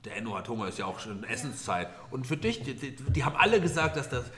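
A man with very lively intonation and a quick pace hosts a playful improvised audio play. He prompts the others with encouraging questions in a casual, chatty way. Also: medium pitch, crowd noise. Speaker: host leading a children's improvised audio play.

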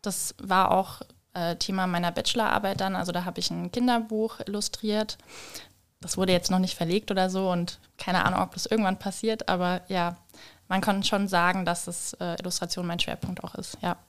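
A young woman with a slightly low voice answers as a podcast guest. She talks in a casual, unscripted interview style. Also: fairly even intonation, moderate pace, clear, resonant tone.

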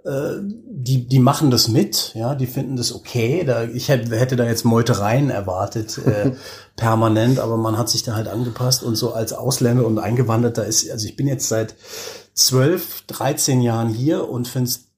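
A man's voice: mid-range voice, moderate pace, a little mellow. A middle-aged man from Hesse, Germany, chatting casually and with easy humour as an interview guest. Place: hotel room.

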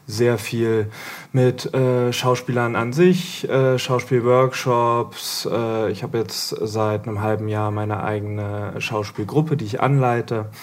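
A man from Berlin, a film director guest on a podcast, talking in a rambling, self-correcting way. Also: on the low side. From Berlin, Germany. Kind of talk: casual podcast chat.

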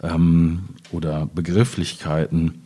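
Deep, husky, mellow man, thinking aloud. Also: little pitch movement. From Ruhr area, Germany. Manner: thoughtful, halting, searching for words while speaking. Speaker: poet discussing his work. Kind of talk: reflective literary panel talk.

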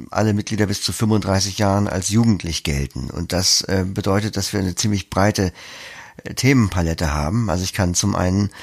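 Young male podcast guest, very low voice with gravelly fry, explaining things methodically. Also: no room sound, studio quality. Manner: structured, explains with examples. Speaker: podcast producer, interview guest. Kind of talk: explanatory interview talk.

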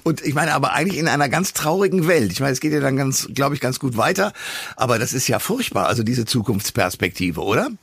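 A man's voice: mid-range voice, lively intonation, fast talker, vocal fry, a bit bright, husky, dry recording. A man hosting a conversational interview as a radio and podcast presenter.

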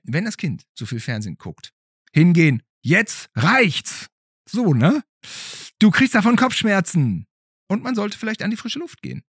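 A man hosts a casual podcast. His voice has a slightly rough, husky grain and moves animatedly up and down in a close, dry sound. He talks playfully, with pauses and questioning asides. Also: high voice, very animated, a bit bright, no echo. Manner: playful, hesitant phrasing with rhetorical tag questions. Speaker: podcast host. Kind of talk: casual conversational podcast interview.

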